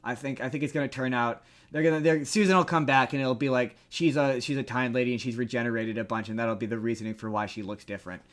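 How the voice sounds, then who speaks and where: A man's voice: mid-range voice, steady tone, quick pace, hardly any pauses. A man hosting a casual pop-culture discussion, talking in a rambling way and repeating words for emphasis. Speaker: podcast host.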